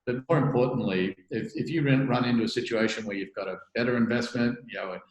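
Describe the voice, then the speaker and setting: A man's voice: mid-range voice, quick pace. A man being interviewed as a guest, explaining things conversationally and often tagging on "right?". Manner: explanatory, many restarts and "right?" tags. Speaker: venture capital partner, guest. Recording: echoey room.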